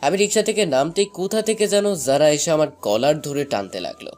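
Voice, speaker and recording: A young man narrating a scripted first-person love story in an expressive, dramatized way. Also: a little high, brisk. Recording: music bed under the voice. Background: background music.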